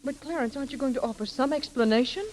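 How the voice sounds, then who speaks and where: A woman's voice: high-pitched, fast, a little mellow, lightly acted. Woman as an earnest, proper young lady. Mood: indignant. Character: earnest, indignant young woman, Miss Pinney. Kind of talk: vintage radio comedy acting. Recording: noisy old radio recording.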